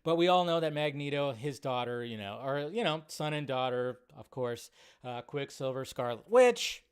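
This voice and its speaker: A man's voice: medium pitch, lively intonation, moderate pace, smooth. A man hosting a live show, chatting casually and directly to viewers in a rambling, colloquial way. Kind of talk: casual livestream chat.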